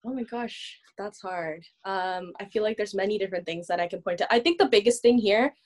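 A woman's voice: medium pitch, fairly quick. A woman from Canada, a guest on a podcast, talking in a casual, earnest way and laughing easily. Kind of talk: casual chat.

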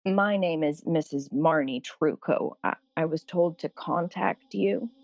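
A solemn spiritualist woman with a deep female voice. She speaks slowly and formally, in earnest, careful pauses, as in a radio play. The sound is very dry. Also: a little mellow, no echo. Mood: formal, insistent. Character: solemn, spiritual, literal-minded woman client seeking a seance. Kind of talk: audio drama acting.

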